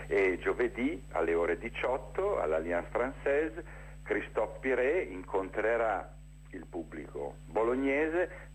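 A low-pitched man gives an explanatory radio interview. He speaks spontaneously, pausing inside phrases and repeating words for emphasis. The line carries faint noise. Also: poor audio. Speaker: interview guest, theatre director presenting a festival. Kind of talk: spontaneous informational interview talk.

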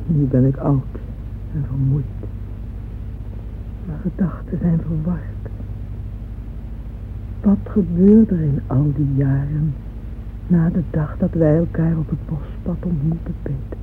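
A woman's voice: deep voice, slight background noise. An actress playing a weary old Russian noblewoman, speaking wistfully and reflectively in a radio drama monologue. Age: older. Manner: slow, reflective, intimate address. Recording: muffled old radio recording, music behind.

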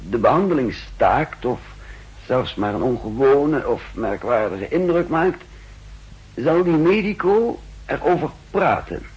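A very breathy, husky man as a secretive intelligence official in an old radio spy play. He speaks deliberately and haltingly, with a fairly high pitch that moves widely as he confides. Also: highs cut off, exaggerated voice. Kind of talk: 1960s Dutch radio drama acting. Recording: old 1960s radio drama recording.

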